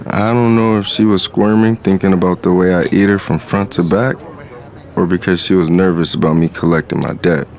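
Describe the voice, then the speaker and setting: A man's voice: low-pitched, steady tone, brisk, darkish tone. A man playing a smitten street hustler, narrating his story in the first person with confident reflection. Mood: smitten, self-assured.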